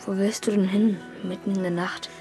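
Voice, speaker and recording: A woman's voice: mid-range voice, level tone, moderate pace, music in the background, natural voice. A woman playing a young schoolboy in a German radio drama, earnest, imaginative and trusting, with childlike delivery. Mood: anxious, questioning. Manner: childlike, plain, wide-eyed telling.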